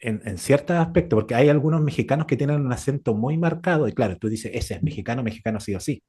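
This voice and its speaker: Husky, mellow Chilean man. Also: medium pitch, animated, fast. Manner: explains with rhetorical questions and examples. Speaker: podcast co-host. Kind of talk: casual reflective conversation.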